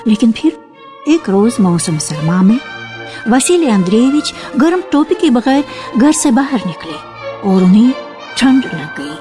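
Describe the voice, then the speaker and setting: A woman reading a literary story for radio in a measured, expressive narrating style, on an archival recording. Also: a little high. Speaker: narrator of a dramatized short story.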